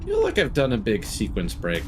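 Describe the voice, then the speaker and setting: A man giving casual live commentary as he plays a game, halting and thinking aloud as he goes. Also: medium pitch, huge pitch swings, a little mellow, a little hiss or hum. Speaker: game streamer.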